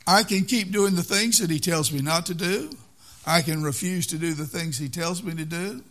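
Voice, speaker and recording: Older man, preacher, sermon, earnest, repeats phrases, slightly high voice. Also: normal speed, fairly bright tone.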